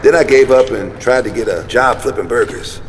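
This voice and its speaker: An actor playing a streetwise, insistent, world-weary homeless conspiracy believer in an audio drama. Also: male voice, medium pitch, moderate pace, close to an everyday voice. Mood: bitter, insistent. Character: streetwise, conspiracy-minded homeless man, an earnest mentor. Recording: reverberant hall with steady noise.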